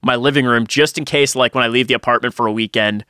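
A man chatting casually as a podcast co-host, joking in an animated way and swearing freely. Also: medium pitch, quick pace, clear, resonant tone, no echo, studio quality. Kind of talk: casual chat.

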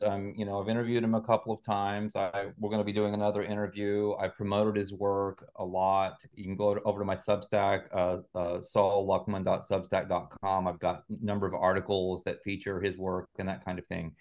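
A man's voice: lowish voice, a little mellow. A man speaking as an interview guest, explaining conversationally and carefully qualifying his points like a teacher.

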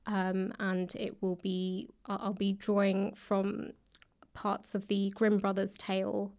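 Female interview guest, fairly flat intonation, reflective and hesitant, conversational delivery with frequent pauses. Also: medium pitch. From grew up in the UK and India. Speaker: guest, author and actor. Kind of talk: thoughtful conversational interview answers. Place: indoors, upper floor of a public library.

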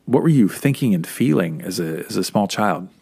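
A man with a somewhat low voice interviews his guest on a podcast. He opens the show and asks questions in an upbeat, encouraging tone. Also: expressive, moderate pace, vocal fry, warm tone, breathy edge.

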